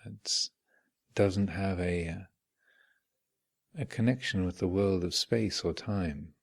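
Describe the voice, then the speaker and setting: A man leading a guided meditation as a teacher, speaking in measured, contemplative short phrases with long reflective pauses. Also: sits low, vocal fry. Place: meditation retreat hall.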